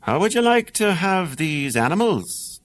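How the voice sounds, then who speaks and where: A man's voice: high voice, sing-song, measured pace, voice pushed up. A man with an Irish accent voicing a sly little magical man in a read-aloud fairy tale, coaxing and bargaining.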